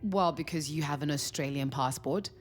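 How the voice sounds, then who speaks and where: A woman's voice: sits low, normal speed, soft background music, natural voice. A woman playing a curious, incredulous, wry young woman on a date in a naturalistic audio drama, reacting with surprise and dry asides. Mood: explaining. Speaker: lead actor in a fiction podcast.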